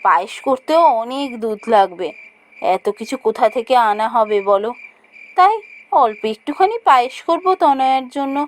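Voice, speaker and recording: A woman plays a practical village mother in a fairy-tale cartoon. Her voice is high and swings up and down with every phrase. She sounds fretful, expressive and exclamatory. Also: moderate pace, slight background noise. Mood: wistful.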